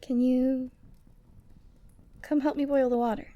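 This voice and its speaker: A woman acts out a doting yeti girl for an ASMR roleplay. The voice sits fairly high for a woman. She is tender and teasing, coaxing softly with breathy sighs and a lonely fondness. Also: flat delivery, measured pace, dry recording, lo-fi sound. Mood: warm, chatty. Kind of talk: ASMR roleplay audio drama.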